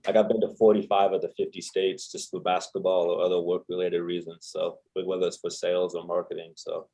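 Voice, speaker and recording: A man's voice: low-pitched, level tone, brisk, gravelly, mellow, low-quality recording. A young man, a podcast guest, telling his story in a rambling, casual way with lots of fillers. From El Salvador. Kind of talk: casual chat.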